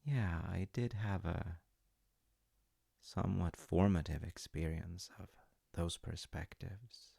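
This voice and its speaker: A very deep, gravelly-voiced dad, played by a man, caring and patient, speaking softly and reassuringly in an audio roleplay. He takes his time and slips into a whisper now and then. The sound is close and dry. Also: fairly even intonation, no room sound, full character voice. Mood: gentle. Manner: soft, reassuring, unhurried, drops to whispers at times. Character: caring middle-aged dad, gentle and patient. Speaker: voice actor.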